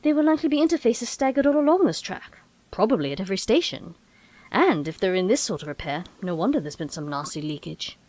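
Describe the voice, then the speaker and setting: A woman's voice: mid-range voice, very animated, quick pace, a little mellow. A woman playing an eccentric, breezy, brainy time-travelling Doctor in an audio drama, chatty and whimsical, with quaint turns of phrase. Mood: explaining.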